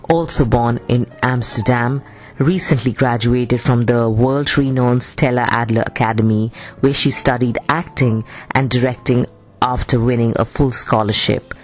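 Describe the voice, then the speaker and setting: A woman's voice: extremely low pitch, a little mellow. A woman hosting a radio talk show, introducing guests in a chatty way that often restarts. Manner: chatty, repeats words and restarts.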